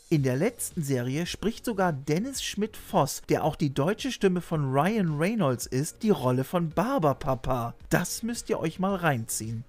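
A man's voice: a little high, darkish tone. Middle aged guy hosting a scripted video essay, bit of music behind him. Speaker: host and narrator. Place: small room lined with shelves, indoors. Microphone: desk microphone.

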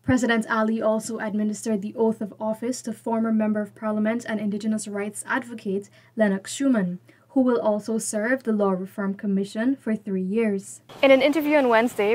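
A woman narrating a government news programme in a clear, scripted newsreader manner. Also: medium pitch, a little hiss or hum. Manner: clear, even newsreader delivery.

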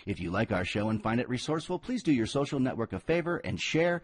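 Male podcast host for a show open: lively, husky, dry sound over soft music. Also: mid-range voice, fast, no room sound. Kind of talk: scripted show intro.

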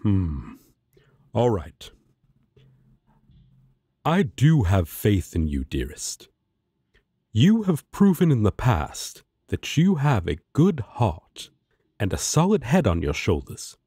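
Man acting a very slow, solemn guardian angel. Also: fairly low pitch, wildly swooping pitch, dark tone, breathy edge, dry recording, studio quality, heavily acted. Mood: devoted, earnest. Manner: formal, solemn. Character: earnest, righteous, devoted male guardian angel. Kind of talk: audio roleplay acting.

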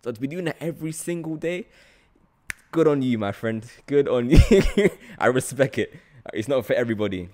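A man's voice: medium pitch, animated, normal speed, darkish tone. A young man with a London accent, chatting casually as the host of an interview podcast, close to the microphone. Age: young adult. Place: small room, indoors. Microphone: podcast microphone on boom arm, close.

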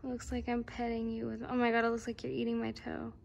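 A woman's voice: a little high, completely flat delivery, fast talker, creaky, muffled recording, a little hiss or hum. A young woman in her twenties chatting casually into a handheld phone camera for a vlog, playful and giggly. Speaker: vlogger.

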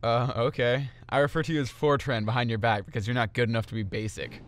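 A man's voice: medium pitch, steady tone, fast talker, faint music behind, lightly acted. A man playing a snide, bored teenage teammate in a comedic anime parody dub. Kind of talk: comedic fan-dub voice acting.

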